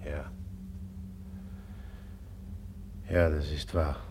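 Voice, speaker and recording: An actor playing a jealous, passionate Russian man in a German radio drama, suspicious yet affectionate, with a brooding, heavy and halting delivery. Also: rumbling low, fairly even intonation, background noise. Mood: curt, bitter.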